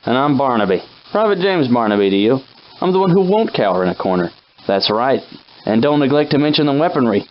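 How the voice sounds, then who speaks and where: Guy doing a folksy old timey soldier sidekick for a video game, mid pitched, plucky but rattled, kinda muffled lofi audio. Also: big pitch swings, fairly quick, a little hiss or hum, close to an everyday voice. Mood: cocky. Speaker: game character companion. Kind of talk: scripted video game character acting, old-time dialect.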